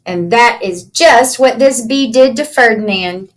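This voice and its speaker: A woman reading a picture book aloud to children in an animated storytelling way, pausing to ask them simple questions. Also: fairly high pitch, slight background noise. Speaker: children's read-aloud teacher.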